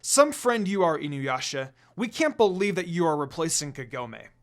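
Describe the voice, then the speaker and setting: Earnest young defender for a fantasy reading, man performing, firm, arguing, raised lively pitch, music underneath. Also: normal speed. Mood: reproachful. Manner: arguing, declaring firmly. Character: earnest, indignant young man defending his absent friend.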